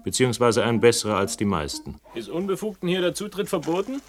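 An actor playing a wry, middle-aged investigator who narrates and talks in a German radio play, sardonic, literary and dryly teasing. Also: male voice, medium pitch, very animated, fast, faint music behind, close to an everyday voice. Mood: reflective, literary, then curious, charming. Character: wry, world-weary male investigator and narrator, flirtatious, philosophical. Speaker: lead actor and first-person narrator.

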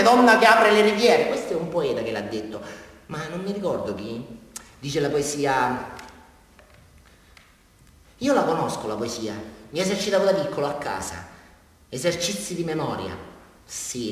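An actor playing a deranged, naive murderer with a southern Italian accent, rambling and repeating himself childishly in a theatrical radio drama confession. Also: male voice, medium pitch, a bit bright, natural voice. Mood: fervent, declaiming, then musing. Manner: rambling, repetitive, childlike, addressing his listener. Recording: very echoey hall, far from mic.

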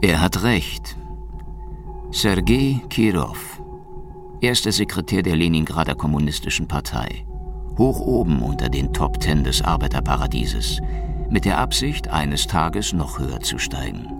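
Hard-boiled secret policeman, very deep gravelly voice, sardonic, deadpan, noir radio drama, music underneath. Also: a little slow, heavily acted. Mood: dry, sardonic. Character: cynical, world-weary male Soviet secret police detective. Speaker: narrator and lead detective. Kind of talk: noir radio drama, first-person narration. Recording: music behind the voice.

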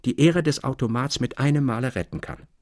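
A man's voice: mid-range voice, animated, a little fast, darkish tone, no echo, studio quality, close to an everyday voice. A man reading the part of an earnest, intense young scholar in a literary story, with solemn, formal phrasing. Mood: formal, persuasive.

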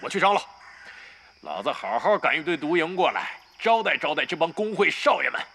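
A crude, scrappy old-hand gamer in an audio drama. His fairly high voice leaps wildly up and down, cocky and coarse, with music playing underneath. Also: big pitch swings, a little fast, sharp tone, full character voice. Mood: smug, cocky. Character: crude, brash, scrappy veteran male gamer, roguish grumbler. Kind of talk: Mandarin audio drama acting, comic banter.

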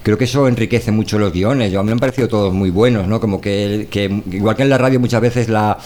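Fast-talking man, low voice. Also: a little mellow. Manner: rambling, reflective. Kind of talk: casual discussion.

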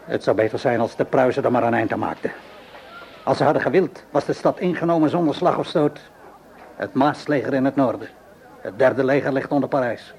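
An actor playing a middle-aged, cynical Parisian tavern keeper in a radio play, speaking in a weary, sardonic, measured way. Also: male voice, medium pitch, a little mellow, lightly acted. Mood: scornful, sardonic. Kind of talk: Dutch radio play acting. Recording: noisy old radio play recording.

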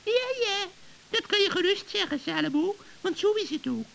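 A piercingly high old witch, creaky and scheming. She gushes and wheedles in sugary tones, with echoey reverb and hiss around her. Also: animated, normal speed, fairly bright tone, heavily acted. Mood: sweetly gushing. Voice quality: creaky, shrill. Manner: wheedling, gushing. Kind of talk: children's radio play acting. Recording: hissy old recording, echoey hall reverb.

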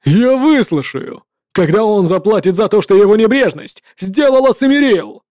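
A woman's voice: on the low side, expressive, slow pace, a little mellow, no room sound, studio quality, pitched below the performer's own voice. A woman voicing an enraged male paladin who growls and threatens. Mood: menacing.

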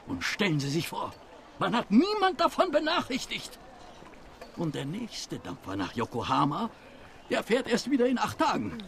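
A man's voice: high voice, huge pitch swings, moderate pace, vocal fry, a bit bright, slight background noise, heavily acted. A sly, fawning detective in a radio play. His voice swoops wildly through its whole range, and everything he says comes out theatrical and exaggeratedly polite. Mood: fake dismay.

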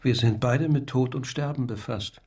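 Actor as husky, sober period doctor. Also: male voice, mid-range voice, darkish tone, lightly acted. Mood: grave, measured. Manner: measured, clinical. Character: sober, learned male doctor in old Paris. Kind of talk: radio crime drama acting.

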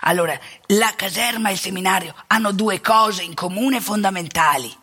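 A woman playing an outspoken, rebellious elderly male priest in a colloquial theatrical monologue, wry and impassioned, with a husky, gravelly voice. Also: medium pitch, moderate pace, bright, forceful tone. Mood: wry, confiding. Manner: colloquial, confiding, swinging into emphatic indignation.

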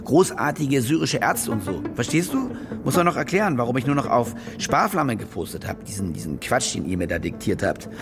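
Brusque, self-important star war reporter. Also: male voice, medium pitch, very animated, fairly quick, few pauses, over music. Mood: brisk, scheming. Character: cynical, demanding star war reporter who fakes his stories. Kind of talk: naturalistic radio drama acting.

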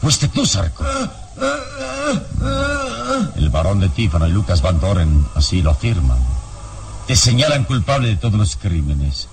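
An actor playing a commanding, protective adventurer hero in a radio serial, insistent and theatrical. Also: male voice, sits low, animated, normal speed, a little mellow, a little hiss or hum. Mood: probing, insistent. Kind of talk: Spanish-language radio serial acting.

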